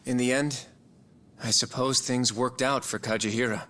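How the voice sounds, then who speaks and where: An actor playing a polite, earnest junior attorney in a video game drama. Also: male voice, mid-range voice, fairly even intonation, normal speed, a bit bright, lightly acted. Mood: measured. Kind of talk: video game cutscene dialogue.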